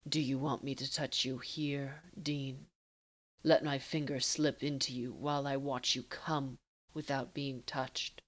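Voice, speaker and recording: A calm, commanding male lover from an audiobook romance, voiced by a woman. The voice sits very low and soft, with slow, formal phrasing. He teases with quiet control and unhurried seduction. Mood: low, commanding, teasing. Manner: formal, measured, softly teasing.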